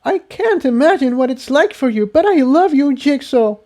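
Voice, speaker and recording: An earnest young mare from a storybook adventure, performed by a man in a very high, airy register. She sounds caring and pleading, loyal to those around her. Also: expressive, normal speed, mellow. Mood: tender.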